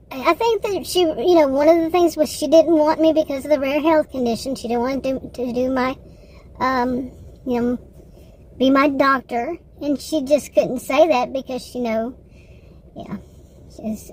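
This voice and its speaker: A woman with a Texan accent, rambling casually in a personal monologue, halting often, circling back and correcting herself. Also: high-pitched, darkish tone, slight background noise, rough recording. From Texas, United States. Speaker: vlogger.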